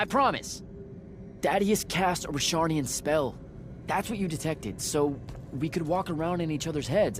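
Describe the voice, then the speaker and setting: An actor playing a young male battlemage, earnest and defensive, with a halting, stammering delivery in game cutscene style. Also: medium pitch, background noise, lightly acted. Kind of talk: video game cutscene acting.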